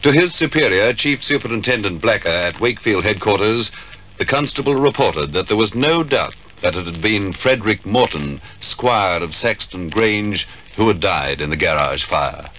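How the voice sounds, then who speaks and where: A man narrates an old radio crime play. His voice is low and his delivery formal and dramatic, with faint noise on the vintage recording. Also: animated, moderate pace, pushed and bright, highs cut off.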